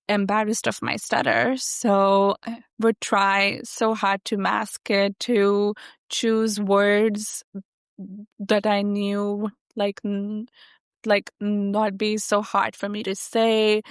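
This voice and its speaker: A young adult woman who stutters, speaking haltingly with many fillers as an interview guest. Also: medium pitch, little pitch movement, smooth, no echo, clean studio sound. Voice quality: stutter with repeated sounds and blocks. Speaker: guest, software engineer. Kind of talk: conversational interview.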